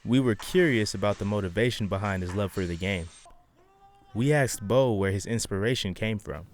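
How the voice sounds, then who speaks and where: A young man reading a scripted sports feature voice-over as student reporter, in a measured, dramatic delivery. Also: lowish voice, slight background noise. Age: young adult.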